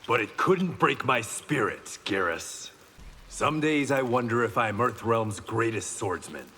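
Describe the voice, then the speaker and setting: A resolute swordsman hero from a fighting game. His voice is bright and forceful with lively intonation, dry and confident, in a long reverb. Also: medium pitch, measured pace. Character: Kenshi, resolute, wry blind swordsman. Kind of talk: fighting game character banter. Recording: long reverb, faint background noise.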